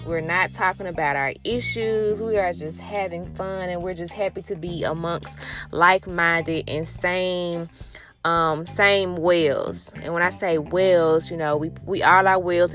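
A middle-aged woman telling a personal story in a rambling, reflective way, with many restarts and fillers and a spiritual turn. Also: on the low side. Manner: rambling, full of "you know" and restarts. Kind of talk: casual spoken storytelling.

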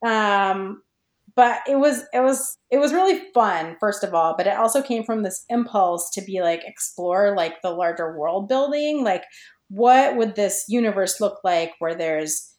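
A woman's voice: mid-range voice, animated, unhurried, a little mellow. A woman podcast guest chatting casually and reflectively about her writing, searching for words and often saying "like". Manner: hesitant, searching for words, many "like"s. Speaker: podcast guest, fan fiction writer. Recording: roomy, echoey sound.

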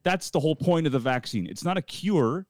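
A man's voice: on the high side, animated, brisk, dry recording. A man co-hosting a talk show, speaking casually in a plainspoken, emphatic way. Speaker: show co-host.

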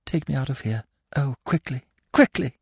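A man's voice: sits high, huge pitch swings, fairly quick, no echo, clean studio sound, pitched above the performer's own voice, full character voice. A man putting on a spirited young woman's voice. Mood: shaken. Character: eager young woman, spirited, then shaken.

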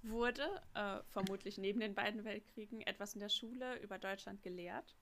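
A woman's voice: medium pitch, moderate pace, fairly bright tone, a little hiss or hum. A woman co-hosting a podcast, asking questions and adding short agreeing replies. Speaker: podcast co-host, interviewer. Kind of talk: casual interview.

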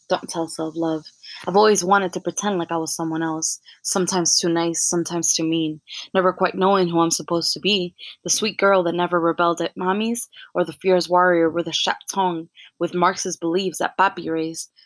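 A young woman hosting a podcast, softly introducing and then reciting her own spoken word poem in a measured way. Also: fairly low pitch, no room sound. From United States. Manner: soft-spoken, frequent ums, measured poetic delivery. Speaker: podcast host.

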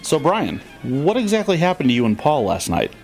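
A man's voice: on the high side, huge pitch swings, normal speed, creaky, a little mellow, faint music behind, full character voice, poor audio. A man playing an eager, slightly clueless chef friend in a comedy audio drama, earnest and ribbing. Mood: earnest, curious.

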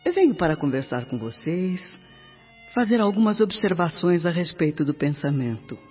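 A woman's voice: fairly low pitch, sing-song, normal speed, breathy edge. A Brazilian actress playing a noble, mature female minister addressing an assembly in a scripted radio drama. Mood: composed. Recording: dull lo-fi radio recording, music behind. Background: music.

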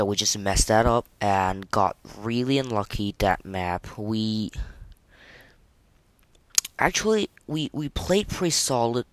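A man hosting a casual, unscripted gaming podcast, speaking haltingly and often repeating and restarting his phrases. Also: on the low side, clear, resonant tone, no echo. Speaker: podcast host.

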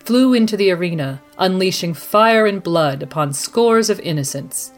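A woman hosting as a news anchor, reading a scripted bulletin in a measured, formal newsreader delivery. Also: on the low side. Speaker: podcast host as news anchor. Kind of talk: scripted mock news broadcast.

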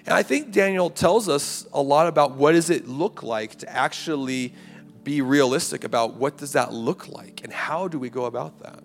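A man preaching a conversational sermon to a campus ministry, his voice raspy and a little hoarse. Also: fairly high pitch, normal speed. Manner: conversational preaching with rhetorical "right?" and "like". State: hoarse, clearing throat. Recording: live hall recording, long reverb, faint music.

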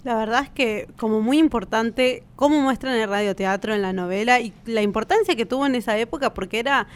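A woman's voice: fairly high pitch, brisk, smooth. A woman with an Argentine accent, co-hosting a podcast in a casual, conversational way and often checking for agreement with little tag questions. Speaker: podcast co-host.

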